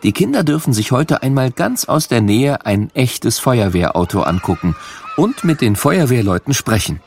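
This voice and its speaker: A man narrating a scripted children's story in a playful, animated way. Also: medium pitch, moderate pace, vocal fry, a little hiss or hum.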